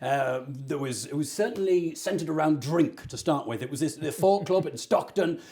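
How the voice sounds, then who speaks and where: A man tells stories in a loose, self-mocking way and laughs often. His voice sits a little high and swings widely in pitch as he jokes through the tale of his band's early days. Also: animated, moderate pace, a little mellow. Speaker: host, folk singer. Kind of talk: jokey livestream chat.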